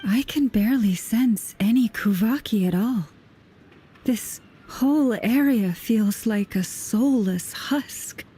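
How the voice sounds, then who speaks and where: Somber game mystic: very breathy, dark, slightly high, faint music behind. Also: female voice, slow, exaggerated voice. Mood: somber, uneasy. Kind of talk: video game voice acting.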